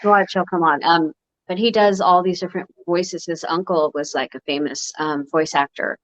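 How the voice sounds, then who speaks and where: A woman's voice: fairly low pitch, steady tone, normal speed, no room sound. A woman hosting a free-flowing interview, chatty and encouraging. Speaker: interview host. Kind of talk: casual interview chat. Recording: dry, dull lo-fi call audio.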